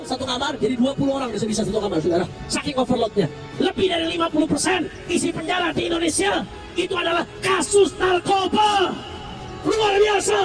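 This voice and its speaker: A man preaching a fiery sermon at a rally, shouting and calling on the crowd to answer back. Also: very high voice. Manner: shouting, call-and-response with crowd. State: recovering from flu. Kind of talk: fiery open-air sermon. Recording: distant mic with music behind. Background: music.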